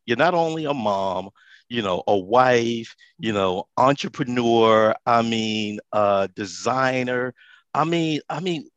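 A man's voice: mid-range voice, no echo. A man hosting a casual interview, talking with enthusiasm and rambling with many fillers. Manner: enthusiastic, rambling, lots of fillers and repeated words. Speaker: interview host.

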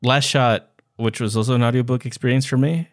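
A man co-hosting a podcast, chatting casually about books in a halting, playful, conversational way. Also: medium pitch, fairly even intonation. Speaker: podcast co-host. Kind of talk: casual book discussion chat.

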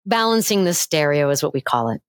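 A middle-aged woman speaking as a voice coach in a remote interview, headphones on. Her voice sits on the low side and is recorded dry and close. She talks in a lively, playful way. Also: fairly quick, no echo. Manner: animated and playful, demonstrates pitch changes with her own voice. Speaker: voice coach and facilitator, guest. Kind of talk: casual interview, demonstrating voice techniques. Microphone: headphones with mic, remote call.

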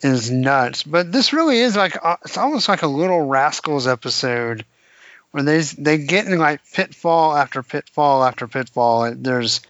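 A man co-hosting a podcast, chatting casually about comics, animated and laughing often. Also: fairly high pitch, moderate pace, dry recording, highs cut off. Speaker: podcast co-host.

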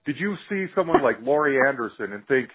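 A man's voice: medium pitch, very animated, fast talker, slightly rough, phone-quality sound, faint music behind. An American man hosting a conversational podcast interview in an enthusiastic manner. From Utah, United States.

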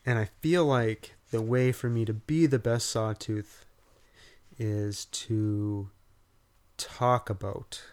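A man's voice: lowish voice, fairly even intonation, takes it slowly, darkish tone. A man with a nasal voice co-hosting a casual podcast, joking dryly at his own expense. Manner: dry, self-mocking humor. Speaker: podcast co-host. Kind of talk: casual chat. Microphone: podcast studio mic.

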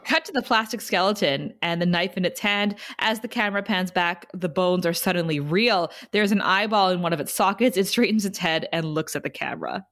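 A woman's voice: on the low side, a little fast, hardly any pauses, fairly bright tone. A woman reading stage directions as the narrator of a scripted table read.

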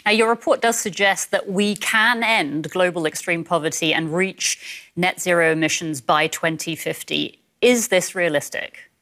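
A woman's voice: on the low side, a little slow, fairly bright tone. A woman news anchor conducting a formal broadcast interview with a guest.

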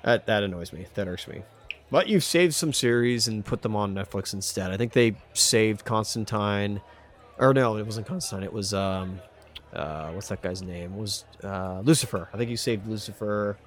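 A man's voice: on the low side, normal speed. A man co-hosting a casual podcast chat, rambling and slangy with frequent exclamations. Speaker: podcast co-host.

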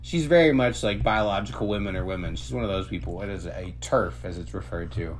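A man's voice: low-pitched, fast, crowd noise. A man hosting a podcast in casual comedic chat, speaking in a profane, slangy, opinionated way. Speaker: podcast host. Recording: clearly noisy, humming background.

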